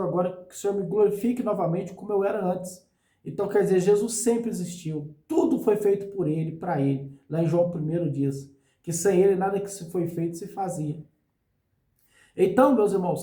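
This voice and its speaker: A male pastor preaching a sermon for his online channel, hoarse from a cold, in an earnest and unhurried way. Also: sits high, dark tone, echoey room. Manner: earnest, slow preaching with frequent "meus irmãos".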